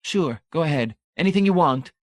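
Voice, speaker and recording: A man's voice: on the high side, animated, a little fast, dry recording, pitched above the performer's own voice, close to an everyday voice. A man voicing a smug, self-righteous male technician who lectures and preaches in a lofty tone. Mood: preachy. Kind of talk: audiobook character voice.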